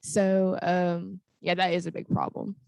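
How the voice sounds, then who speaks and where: A woman's voice: lowish voice, level tone, a little slow, gravelly, a little mellow, clean tone, no room sound. A young woman, a student, raising a problem at a meeting over a video call in a casual, hesitant way. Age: young adult. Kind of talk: meeting discussion.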